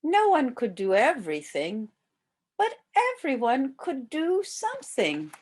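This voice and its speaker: An older woman reading a children's storybook aloud as a volunteer, with expressive delivery aimed at young children. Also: high-pitched, measured pace, breathy edge.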